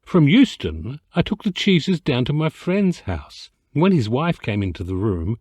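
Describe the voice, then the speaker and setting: Animated male storyteller, theatrical delivery. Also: mid-range voice, a little slow, slightly rough, dry recording. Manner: theatrical, expressive, mock-dignified. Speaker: amateur voice actor reading an audiobook excerpt. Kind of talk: comic literary narration, storytelling.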